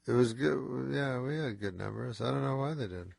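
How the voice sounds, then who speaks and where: A tired, stuffed-up man with a nasal voice, speaking as a guest in a casual radio interview in a slurred, low-energy, deadpan way. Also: normal speed, creaky, no echo.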